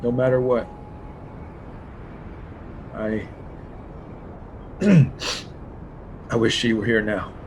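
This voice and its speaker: A man's voice: steady tone, extremely slow, pauses often, a little mellow, rough recording. A man playing a commanding, grieving survivor leader in a dramatic table read over a video call. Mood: grieving, halting. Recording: video call with faint rumbling noise.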